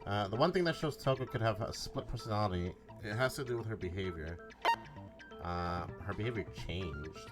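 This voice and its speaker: A man's voice: on the low side, expressive, brisk. A man casually chatting as he streams a game, swearing and thinking aloud. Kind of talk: casual chat while playing a game. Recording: game music behind voice.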